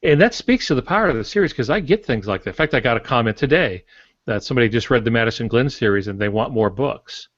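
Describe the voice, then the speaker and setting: An older man, a novelist, talking casually on a writing podcast in a halting, matter-of-fact, anecdotal way. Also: medium pitch, animated, no echo. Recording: dull lo-fi podcast audio.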